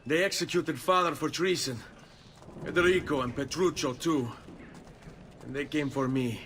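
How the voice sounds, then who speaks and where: A man's voice: fairly high pitch, normal speed, fairly bright tone, slight background noise, natural voice. An actor playing a grieving, resolute young Italian nobleman in a video game, speaking with an Italian accent in an earnest, restrained way. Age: young adult. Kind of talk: video game cutscene acting.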